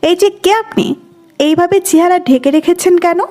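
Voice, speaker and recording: Audio drama firebrand, female actor: bossy, aggressive young woman, piercingly high pitch, scolding delivery. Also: normal speed, warm tone, faint music behind. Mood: confrontational. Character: hot-tempered, threatening, bossy young woman. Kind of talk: acted audio story dialogue.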